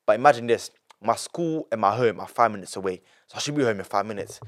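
A nineteen-year-old man, a podcast guest telling his story in an animated way, full of repetition and street slang. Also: on the low side, fast. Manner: animated, repeats himself, heavy street slang. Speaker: young entrepreneur guest.

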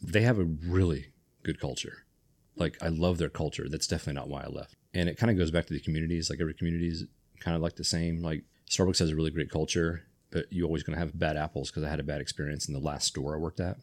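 A man with an extremely low, deep voice hosts a casual podcast conversation. He rambles, restarts his sentences and gets enthusiastic about his ideas. He sounds middle-aged. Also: fairly even intonation, fast, a little mellow. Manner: rambling, self-correcting, enthusiastic. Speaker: podcast host. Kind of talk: casual chat.

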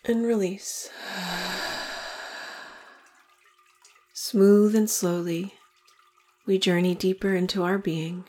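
A woman leading a guided meditation in slow, deliberate phrases with long pauses between them. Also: medium pitch, steady tone, warm tone.

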